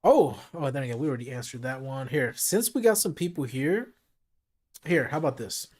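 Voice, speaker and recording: A man running a late-night live stream, talking casually and directly to his chat, with his voice gone hoarse from hours of talking. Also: medium pitch, expressive, fairly quick, darkish tone. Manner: casual, chatty, talks straight to his chat.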